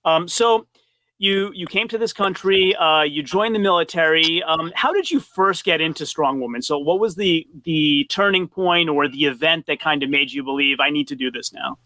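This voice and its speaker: Male interviewer, slightly higher voice, curious and encouraging, dry close sound. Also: normal speed, sharp tone, no room sound. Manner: friendly, curious, lots of affirmations. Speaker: podcast host, interviewer. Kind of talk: casual interview over a video call.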